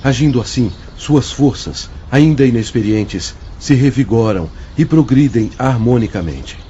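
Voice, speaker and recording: An actor playing a kindly religious brother in a radio drama, counseling patiently in a soothing, measured, exhorting way. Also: male voice, medium pitch, lively intonation, warm tone, close to an everyday voice. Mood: encouraging. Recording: muffled lo-fi audio with faint noise.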